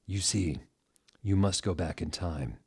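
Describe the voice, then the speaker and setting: A man voicing a grave, mysterious female fortune-telling professor who speaks in ominous, measured pronouncements. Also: deep voice, vocal fry, a little mellow, husky, exaggerated voice.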